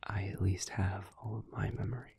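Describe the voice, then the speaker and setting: A man playing a brooding, devoted demon in an intimate audio roleplay, speaking in solemn, halting, measured phrases. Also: on the low side, flat delivery, gravelly, a little mellow, slight background noise. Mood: somber, earnest.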